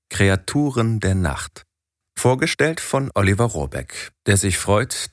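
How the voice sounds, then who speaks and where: A man's voice: low-pitched, no room sound, studio quality. A man reading a scripted show introduction as an announcer.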